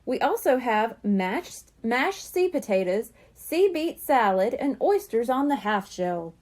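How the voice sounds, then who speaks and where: A woman voicing a gentle, knowing mother cat in a children's storybook. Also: on the high side, sing-song, slow pace, exaggerated voice.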